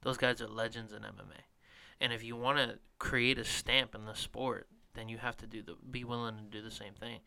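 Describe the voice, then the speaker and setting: Man, podcast co-host, casual rambling chat, bright tone, smooth clear voice. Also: mid-range voice, little pitch movement, a little fast, few pauses. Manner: rambling, filler-heavy, trails off quietly.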